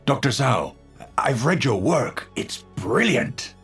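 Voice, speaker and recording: An actor playing an eccentric older physicist in a video game, gentle and brilliant yet unhinged, speaking formally and precisely with a breathy, husky voice. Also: male voice, medium pitch, very animated, slow pace, faint music behind, exaggerated voice. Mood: intrigued, courteous. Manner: precise, formal, musing, erratic swings into fervour. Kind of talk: video game character voice lines.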